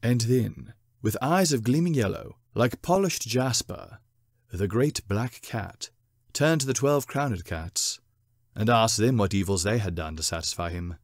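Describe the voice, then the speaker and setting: Animated male storyteller, dry close sound. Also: lowish voice, dry recording. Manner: theatrical, deliberate phrasing. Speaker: narrator, short story reader. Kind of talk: dramatic scripted storybook narration.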